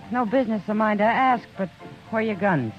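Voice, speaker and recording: A woman's voice: medium pitch, fast, lightly acted. A woman playing a worried frontier saloon woman. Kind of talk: 1950s radio drama acting. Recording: dull vintage radio broadcast, faint music.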